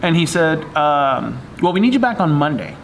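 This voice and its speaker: A man co-hosting a casual vlog, telling a story haltingly and acting out remembered dialogue. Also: fairly high pitch, big pitch swings, creaky. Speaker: vlog co-host. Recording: faint background noise.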